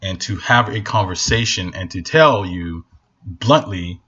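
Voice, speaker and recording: A man's voice: sits low, lively intonation, a little slow, pushed and bright, slightly rough, highs cut off. A man from Northern Nigeria, a native Hausa speaker, giving a blunt, impassioned opinion rant to camera in a casual vlog. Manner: blunt, impassioned, repeats for emphasis. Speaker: vlogger.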